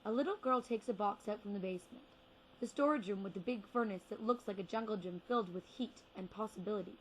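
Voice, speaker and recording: Young woman reciting slam poetry, noisy recording. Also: mid-range voice. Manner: deliberate, rhythmic phrasing with repeated words. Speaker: slam poet. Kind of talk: spoken word poetry recital.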